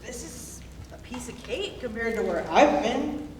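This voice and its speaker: A woman's voice: fairly high pitch, lively intonation, unhurried, darkish tone. A hard-shelled woman convict, played by a stage actress, blunt and guarded. She is heard at a distance in a heavily echoing room, as in a live staged reading. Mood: curt, irritated. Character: hardened, curt, bookish former runaway woman prisoner. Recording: very echoey room, far mic, faint noise.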